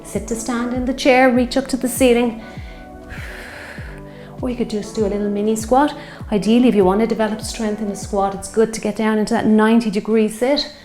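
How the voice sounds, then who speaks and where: A woman in her fifties, a fitness instructor leading a guided strength workout, slightly hoarse from a sore throat and cheering on the class as she counts out reps. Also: fairly high pitch, fairly even intonation, a little fast, a little mellow, reverberant, faint music behind. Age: 50s. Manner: encouraging, counting reps while exercising.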